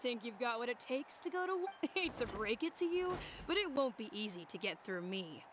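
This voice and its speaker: A boastful veteran warrior who brushes off a newcomer. She speaks wryly and cockily, and her high voice moves freely. Faint noise sits behind her. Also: big pitch swings, normal speed. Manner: wry, cocky. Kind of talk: video game voice acting.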